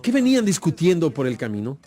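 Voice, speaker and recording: An actor playing an authoritative, earnest religious teacher with a solemn, emphatic delivery in a dramatized audio Bible. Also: male voice, fairly high pitch, huge pitch swings, quick pace, mellow.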